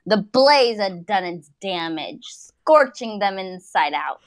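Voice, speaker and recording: A woman reads fan fiction as a mock-dramatic narrator. Her delivery is slow and theatrical, drawing out phrases with lively swings in pitch around a medium register. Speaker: narrator of a comic dramatic reading.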